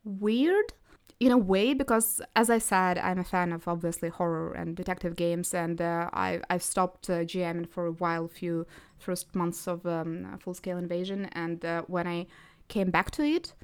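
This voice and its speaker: Smooth-voiced woman guest. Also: on the low side, normal speed. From Crimea, Ukraine. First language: Russian. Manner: animated storytelling, frequent hesitations. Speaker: podcast guest. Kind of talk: casual interview chat.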